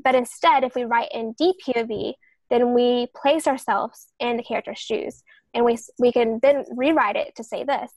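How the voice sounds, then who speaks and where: Female podcast panelist, highish pitch with vocal fry, earnest teacher-like explaining. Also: moderate pace, a little mellow, no echo, poor audio. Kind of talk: explanatory writing discussion.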